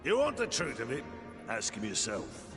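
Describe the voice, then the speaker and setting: Casting: swaggering video game pirate captain, gravelly, hugely animated delivery, game score underneath. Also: male voice, medium pitch, moderate pace, music in the background. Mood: sly, storytelling. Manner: pirate slang, swaggering, theatrical. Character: swaggering rough pirate captain, boisterous and mocking. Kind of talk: video game cutscene acting.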